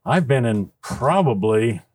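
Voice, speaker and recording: A man's voice: mid-range voice, lively intonation, darkish tone, no echo. Man playing a folksy, jolly Santa Claus. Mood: warm, sincere. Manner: folksy, unhurried, exclaiming. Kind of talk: casual interview in character.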